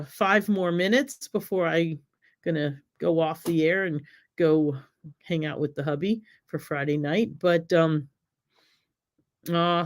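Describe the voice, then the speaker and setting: A middle-aged woman from the United States hosting a casual cooking live stream, chatting with viewers in a rambling, friendly way. Her voice is slightly raspy while she recovers from an illness. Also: on the low side. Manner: chatty, rambling, many ums, reads viewer comments aloud. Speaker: cooking show host.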